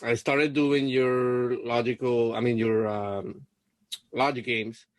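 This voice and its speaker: A man's voice: mid-range voice, little pitch movement, takes it slowly, no room sound. A young man from Puerto Rico whose first language is Spanish, talking hesitantly with many fillers on a video coaching call. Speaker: LSAT student being coached.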